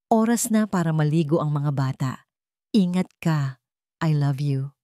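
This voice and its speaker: A woman voicing a young wife and mother in a story, brisk and bossy, with a two-faced temper. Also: low-pitched, lively intonation, mellow, no room sound, clean studio sound. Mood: cheerful.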